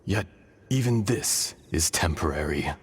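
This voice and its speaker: An actor playing an ancient, weary earth dragon in a video game, speaking solemnly and formally. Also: male voice, low-pitched, expressive, breathy edge, exaggerated voice. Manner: solemn, deliberate, formal speech. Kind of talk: dramatic video game cutscene acting.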